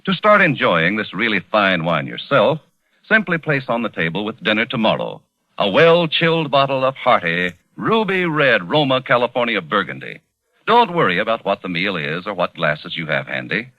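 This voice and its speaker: A man's voice: on the low side, wildly swooping pitch, moderate pace, bright, forceful tone. A man reading a scripted 1940s radio advertisement with polished, emphatic salesmanship. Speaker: radio commercial announcer. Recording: dull, echoing old radio recording.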